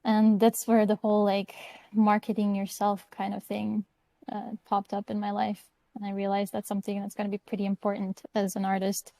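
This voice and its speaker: A woman from Croatia talks through her story as a guest on an online chat, easygoing and chatty. Her voice is mellow and warm. The sound is dry, with no room echo. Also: medium pitch. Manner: easygoing, chatty, light laughs. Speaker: guest artist. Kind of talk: casual interview answers.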